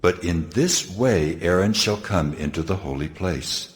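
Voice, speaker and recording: A man's voice: deep voice, measured pace. A man voicing God as a solemn, commanding divine figure in a dramatized scripture reading. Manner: solemn, authoritative. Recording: long cavernous reverb, music underneath. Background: music.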